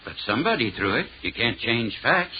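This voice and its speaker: An actor playing a stern, skeptical older country doctor, blunt and folksy, in an old-time radio drama. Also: male voice, mid-range voice, very animated, brisk, close to an everyday voice. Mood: stern, doubting. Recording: muffled, noisy old radio recording.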